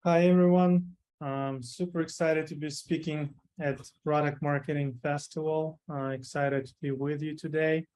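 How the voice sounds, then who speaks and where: A man's voice: fairly high pitch, little pitch movement, normal speed, darkish tone, poor audio. Hesitant Ukrainian-raised man presenting. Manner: hesitant, many fillers. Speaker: conference speaker. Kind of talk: presentation talk.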